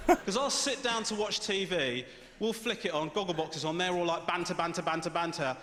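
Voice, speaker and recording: A man with a British accent doing a stand-up comedy set on a theatre stage, telling stories in a dry, deadpan way. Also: high voice, moderate pace, slight background noise. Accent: British English. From Sri Lankan heritage. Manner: dry, deadpan storytelling with lively swings. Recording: roomy theatre with loud audience laughter. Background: audience laughing.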